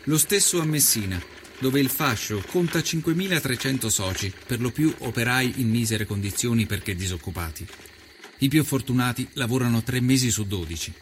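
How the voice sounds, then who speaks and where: A man narrates a scripted first-person historical account in a measured, literary, reflective way. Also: medium pitch, slight background noise. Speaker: narrator and storyteller. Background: ambient soundtrack.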